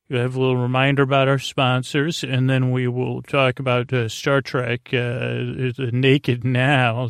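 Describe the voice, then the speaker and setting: A middle-aged man speaks at a medium pitch with a noticeably creaky, fry-laden voice. He delivers a casual bedtime podcast monologue, wandering and doubling back on his thoughts, often leaving them unfinished. The sound is close and dry, with very little room in it. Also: fairly bright tone, no echo. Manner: halting, meandering, frequently backtracking and trailing off mid-thought. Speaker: podcast host.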